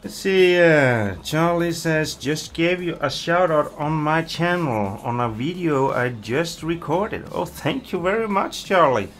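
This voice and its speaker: A man's voice: a little high, expressive, a little slow, hardly any pauses, fairly bright tone. Guy streaming a farm game, chatty, lots of ums, faint music behind. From Iceland. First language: Icelandic. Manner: chatty, reads viewer comments aloud, hesitant fillers. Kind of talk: casual live-stream chat.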